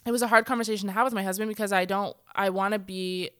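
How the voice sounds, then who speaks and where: Woman guest, casual and chatty, lots of fillers, smooth voice. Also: mid-range voice, fairly even intonation, racing, fairly bright tone, no echo, clean studio sound. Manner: chatty, many "like" fillers, acts out quoted speech. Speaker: guest speaker. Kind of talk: casual conversation. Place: church stage.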